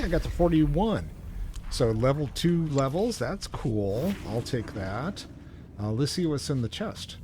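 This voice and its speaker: Male game streamer, lowish voice, informal and rambling, thinking out loud over clear background game noise. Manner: rambling, musing aloud, informal. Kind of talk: casual livestream commentary while playing. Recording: livestream mic with game noise behind.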